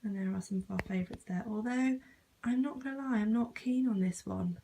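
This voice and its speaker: A woman's voice: medium pitch, fairly quick, vocal fry, warm tone, a little hiss or hum. A young British woman giving a casual, self-deprecating home tour in an unpolished, informal way. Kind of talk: casual informal chat to camera.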